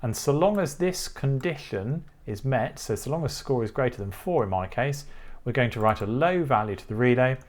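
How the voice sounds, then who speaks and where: A man with a mid-pitched voice presents an informal electronics tutorial. He talks methodically, explaining each step in turn as the host of the channel. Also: animated, moderate pace.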